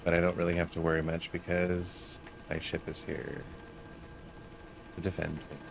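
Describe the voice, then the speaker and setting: A man's voice: deep voice, monotone, moderate pace, creaky, noisy background. A man giving casual, explanatory commentary as the host of a gaming video. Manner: explanatory, reads quest text aloud.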